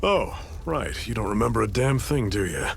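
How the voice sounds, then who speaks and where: An actor playing a seasoned, fatherly rebel leader in a video game, with a relaxed, folksy, mentoring way of talking. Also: fairly low pitch, moderate pace, vocal fry, slight background noise. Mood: wry, explaining.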